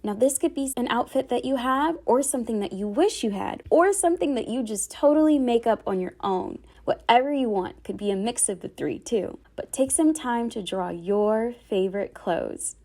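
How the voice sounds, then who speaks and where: A woman storyteller reading a picture book aloud to children, with expressive, dramatic phrasing and careful enunciation. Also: on the high side, moderate pace, few pauses.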